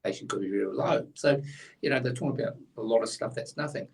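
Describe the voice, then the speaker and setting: A man's voice: medium pitch, quick pace, gravelly, darkish tone, far from the mic. A man, blunt and opinionated, explaining a tax rule in casual chat as co-host, a little away from the mic.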